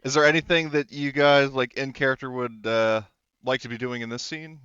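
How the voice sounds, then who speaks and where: A man's voice: medium pitch, steady tone, normal speed, fairly bright tone, smooth, no room sound, muffled recording. A man talking casually and informally as game master of a tabletop roleplaying game.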